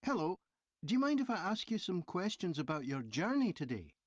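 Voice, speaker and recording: An actor playing a polite train company representative asking survey questions in a scripted listening-test dialogue, clearly enunciated. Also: medium pitch, sing-song, a little fast, no echo, highs cut off. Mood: polite, inquiring.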